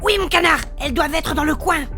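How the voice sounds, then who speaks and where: A woman plays an affectionate young female adventurer in a comic fantasy audio play, gushing one moment and urging the next. Her voice is extremely high with a creaky edge. Music is clearly audible behind her. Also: fast, sharp tone, exaggerated voice. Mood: searching. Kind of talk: comic audio fiction acting.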